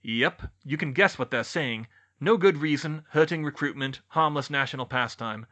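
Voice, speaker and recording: A man's voice: on the high side, moderate pace, sharp tone. A man voicing a polite, genial but beleaguered middle-aged government boss with a wry manner, in an audiobook. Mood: wry, exasperated. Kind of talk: audiobook character voice.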